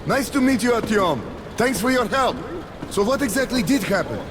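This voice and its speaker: A man's voice: high voice, lively intonation, normal speed. A man voicing a blunt, exasperated station boss in a video game, speaking emphatically. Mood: friendly. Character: gruff, exasperated male station boss wary of trouble.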